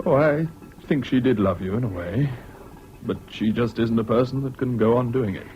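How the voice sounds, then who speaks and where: An actor in a 1970s British radio drama playing a sensible, patient friend who speaks in a measured, frank way. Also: male voice, medium pitch, close to an everyday voice. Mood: frank, sympathetic. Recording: dull, faintly noisy old radio recording.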